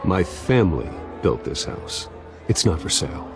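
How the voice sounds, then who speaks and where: An actor playing a wealthy, composed, guarded American man with a dry, calm manner in a video game. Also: deep voice, a little slow, gravelly, music in the background, heavily acted. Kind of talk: video game character acting.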